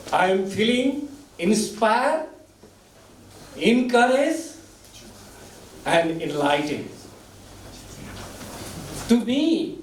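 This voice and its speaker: Man, high voice, very slow halting speech, echoey hall from a distance. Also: slight background noise. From Nepal. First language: Nepal Bhasha. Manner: slow halting delivery in short phrases, deeply moved. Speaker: author giving thanks at a book launch. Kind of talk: emotional formal speech. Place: event hall.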